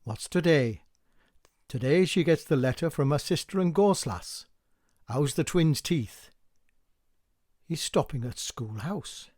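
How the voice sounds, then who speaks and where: A man's voice: on the high side, animated, slow pace, pauses often, a little mellow, no echo. Man reading a poetic play, very dry sound. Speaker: amateur reader and narrator. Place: dry home recording.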